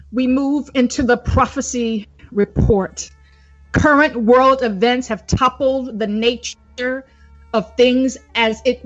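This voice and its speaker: A woman evangelist reading a formal religious report aloud in a solemn, declamatory, deliberate manner. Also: a little high. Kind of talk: formal religious address, read aloud from a prepared script. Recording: dull lo-fi recording with music underneath.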